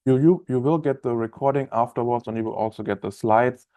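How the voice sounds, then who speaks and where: German man with a dark voice. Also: medium pitch, a little fast, no room sound. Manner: friendly, chatty, many fillers and restarts. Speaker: webinar trainer. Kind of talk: live software training webinar. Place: office room, indoors.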